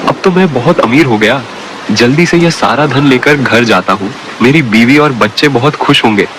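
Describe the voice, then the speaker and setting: A man's voice: medium pitch, normal speed, noisy background, natural voice. A man playing a poor, honest woodcutter in a cartoon story, earnest, plaintive and given to exclaiming. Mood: delighted. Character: poor, desperate, honest woodcutter, husband and father. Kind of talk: Hindi cartoon moral story acting.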